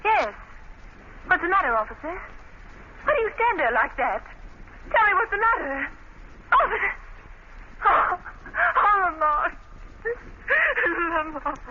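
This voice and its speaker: Anxious pleading lady, very high swooping voice, 1940s radio drama, noisy recording. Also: animated, a little slow, pauses often, dull audio. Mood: alarmed, pleading. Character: anxious, pleading lady waiting for her companion.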